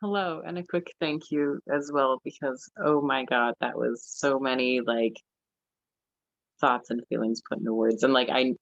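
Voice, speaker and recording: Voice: mid-range voice, steady tone, a little slow, creaky, a bit bright, no echo, dull audio. A nineteen-year-old panelist telling their own story in an earnest, chatty way, full of fillers. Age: teens. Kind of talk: casual personal storytelling. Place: library event room.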